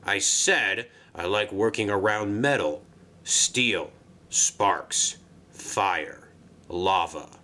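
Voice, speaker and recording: A man's voice: fairly low pitch, animated, moderate pace, pushed and bright. Man voicing a grumpy, grumbling tank engine. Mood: grumpy, sullen. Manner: grumbling, grunting. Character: grumpy, gruff saddle tank engine, disinterested and blunt.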